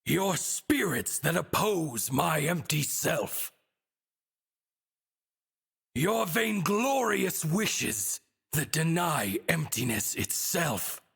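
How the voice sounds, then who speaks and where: Slow, husky, grandiose evil god. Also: male voice, a little high, big pitch swings, a bit bright, heavily acted. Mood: solemn, ominous. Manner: slow, incantatory, pompous declamation. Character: vain, empty evil god, solemn and grandiose. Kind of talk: theatrical video game boss monologue. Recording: game music behind the voice.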